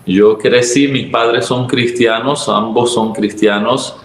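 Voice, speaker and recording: A man's voice: mid-range voice, steady tone, slow pace, reverberant, rough recording. A man in his thirties from Venezuela with a Venezuelan Spanish accent, a pastor telling his testimony in an earnest, reflective way. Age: 30s. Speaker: pastor, guest. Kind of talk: testimony interview.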